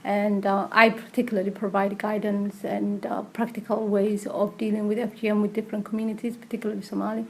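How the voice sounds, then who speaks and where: A woman's voice: mid-range voice, level tone, brisk, creaky, hardly any pauses, darkish tone, rough recording. A woman who works as a community health practitioner, talking conversationally in an interview.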